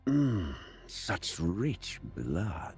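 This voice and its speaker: Casting: theatrical immortal noble sorcerer, very husky, wildly swooping intonation, pompous and menacing, game character. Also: male voice, sits low, sing-song, a little mellow, full character voice. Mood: sinister relish. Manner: pompous, drawn-out, savoring words. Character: immortal aristocratic male blood mage, creepy, condescending, theatrical. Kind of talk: video game voice lines.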